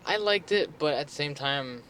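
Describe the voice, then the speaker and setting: A young man co-hosting a casual podcast chat, relaxed and hedging, swearing now and then. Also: on the high side, normal speed, crowd noise, rough recording. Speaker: podcast co-host.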